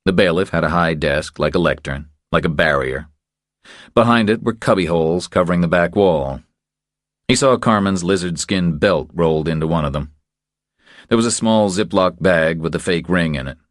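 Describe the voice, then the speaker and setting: A man's voice: low-pitched, moderate pace, no room sound. A man reading scripted crime fiction as an audiobook narrator, close on the microphone.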